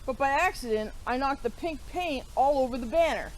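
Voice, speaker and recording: A woman voicing a sad, tearful female friend in a children's storybook, speaking softly. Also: high-pitched, fairly quick, a little hiss or hum, natural voice, rough recording. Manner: weepy, soft and sorrowful.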